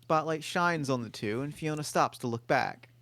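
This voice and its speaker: A man narrating screenplay stage directions in a table read, brisk and matter-of-fact. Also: on the high side, a bit bright.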